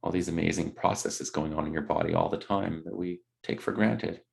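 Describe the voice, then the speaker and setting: A man leading a guided body scan meditation, speaking gently in unscripted, hesitant phrases. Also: deep voice, steady tone, fairly quick, gravelly.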